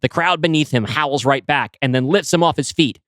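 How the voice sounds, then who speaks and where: A man co-hosting a podcast, walking his co-host through his own screenplay and reading scenes aloud, with an animated storyteller's delivery and frequent fillers. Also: a little high, normal speed, no room sound, studio quality.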